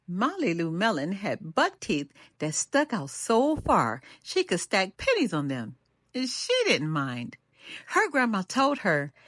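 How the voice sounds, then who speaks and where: An older woman reading a children's picture book aloud as a storyteller, with an animated, deliberate delivery and drawn-out refrains. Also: on the low side, dry recording, studio quality.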